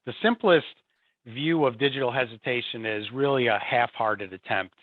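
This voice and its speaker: A man's voice: medium pitch, moderate pace, dry recording. Man on a tinny dry call line. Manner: repeats words, many "you know" and "right?" tags. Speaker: guest, support and field services executive. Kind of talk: conversational interview.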